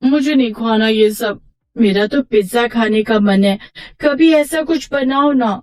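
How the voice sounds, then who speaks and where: Woman voicing a high, cheeky young girl. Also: unhurried, natural voice. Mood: whining. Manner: pleading, cheeky. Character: eager, teasing young girl craving treats. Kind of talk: acted moral story for children.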